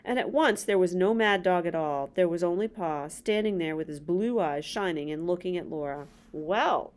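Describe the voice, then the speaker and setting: A woman's voice: lowish voice, moderate pace, a little mellow. A middle-aged woman hosting a children's read-aloud, reading a story and adding casual asides. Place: small indoor room with bookshelves.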